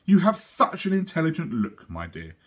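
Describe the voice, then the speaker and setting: A small, tender young girl in a storybook, voiced by a man reading aloud. She speaks quietly and solemnly, with a stubborn streak and a slightly husky edge. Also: fairly high pitch, huge pitch swings, moderate pace, voice pushed up, heavily acted. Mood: admiring.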